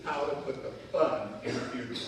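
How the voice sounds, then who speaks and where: Man playing an echoey radio ad pitchman. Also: a little fast, close to an everyday voice. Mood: cheerfully morbid. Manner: punning, salesmanlike. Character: showy funeral home radio pitchman, cheerfully morbid. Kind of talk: radio commercial parody. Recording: echoey hall from far off, noisy.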